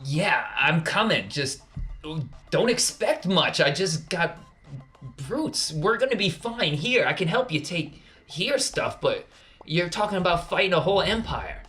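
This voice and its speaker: A man's voice: on the high side, very animated, unhurried, sharp tone, soft background music, voice pushed up, full character voice. A man voicing a reluctant, blunt crime boss in a tabletop game, with noise in the recording. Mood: reluctant, defensive. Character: gruff, reluctant male crime boss with hired brutes.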